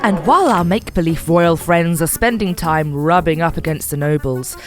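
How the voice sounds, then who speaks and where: A woman narrates an audio adventure from a script in a playful style. Her voice sits on the low side, with music clearly underneath. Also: moderate pace.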